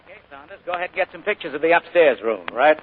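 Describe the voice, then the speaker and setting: Actor: persuasive young surveyor, fast and husky, lo-fi 1940s radio. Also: male voice, fairly high pitch, animated, a little hiss or hum, close to an everyday voice. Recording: tinny old radio broadcast.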